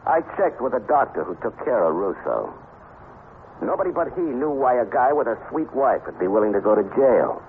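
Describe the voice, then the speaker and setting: An American actor playing a sardonic, hard-boiled private detective in a 1950s radio crime drama, wisecracking and menacing. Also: male voice, medium pitch, sing-song, brisk. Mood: hard, mocking. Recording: noisy, muffled 1950s radio recording.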